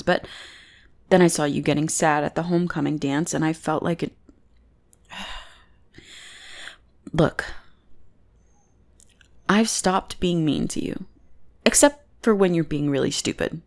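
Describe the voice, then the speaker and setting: Woman acting a creaky, teasing goth bully girl. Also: sits low, measured pace, long pauses, a little mellow. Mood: grudgingly affectionate. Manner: mocking, drawling, intimate teasing. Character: teasing goth bully girl, secretly smitten, bossy and exasperated. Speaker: voice actor in audio roleplay. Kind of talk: ASMR roleplay acting.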